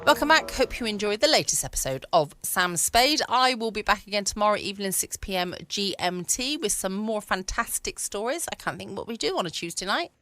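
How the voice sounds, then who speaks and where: Bright, lively woman podcaster. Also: mid-range voice, a little fast, hardly any pauses, slight background noise. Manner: affectionate, informal. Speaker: podcast host. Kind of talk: chatty late-night podcast sign-off.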